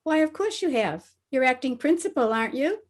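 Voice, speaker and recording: Teenage schoolgirl played by a woman, radio sitcom. Excitable, earnest, on the high side. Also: brisk. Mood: alarmed. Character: eager, excitable teenage schoolgirl, principal's daughter. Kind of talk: 1950s radio comedy acting.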